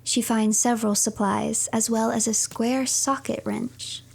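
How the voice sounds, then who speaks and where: High-pitched woman narrating a story, slightly creaky. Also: level tone, measured pace, darkish tone. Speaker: streamer narrating game playthrough. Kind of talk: scripted third-person story narration over gameplay.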